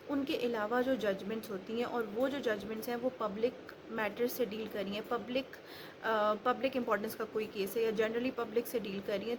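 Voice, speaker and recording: A woman giving an explanatory law lecture step by step, mixing in English legal terms. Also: a little high. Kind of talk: explanatory lecture with worked examples. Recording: clearly noisy recording with background ambience.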